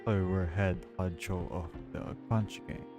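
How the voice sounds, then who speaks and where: A man speaking game dialogue, with music clearly behind him. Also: low-pitched, steady tone, a little slow, dull audio. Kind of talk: reading game dialogue, reacting on a stream.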